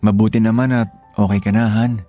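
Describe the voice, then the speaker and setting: Dark, mellow-voiced husband played by an actor. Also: male voice, on the low side, little pitch movement, a little fast, faint music behind, close to an everyday voice. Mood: proud, affectionate. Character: proud, supportive husband and father. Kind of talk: Filipino radio drama acting.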